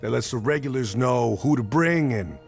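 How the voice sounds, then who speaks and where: An earnest, chipper musician in a game. His pitch moves freely as he talks in a hesitant, folksy manner, with music underneath. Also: animated, moderate pace, creaky. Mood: hesitant, busy. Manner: hesitant, folksy, mild exclamations. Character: earnest, chipper male jazz musician and party organizer. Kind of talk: voiced dialogue in a narrative RPG.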